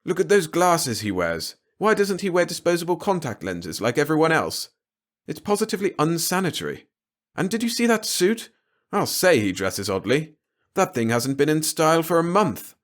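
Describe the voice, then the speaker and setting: A man's voice: fairly high pitch, big pitch swings, normal speed, pitched above the performer's own voice. A man voicing a grumpy, pompous, disapproving middle-aged father in a storybook reading. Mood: grumbling. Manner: blustering, pompous.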